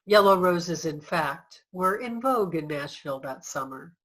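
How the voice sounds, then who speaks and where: A woman's voice: fairly low pitch, animated, normal speed, fairly bright tone. A woman delivering theatrical scripted narration in a reader's theater, in a declamatory manner.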